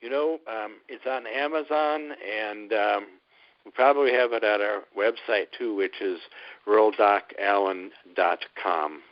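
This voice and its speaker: Low-voiced Midwestern older man, hesitant. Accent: Midwestern American. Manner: hesitant, many ums, measured. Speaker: guest obstetrician. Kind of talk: casual interview answers. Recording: muffled radio audio.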